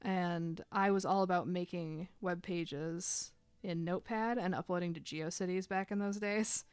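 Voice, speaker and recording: A woman's voice: lowish voice, fairly even intonation, a little slow, darkish tone, clear, resonant tone, dry recording. A woman in her thirties chatting casually while drawing on a live stream, rambling and filling gaps with "like". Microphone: desk microphone.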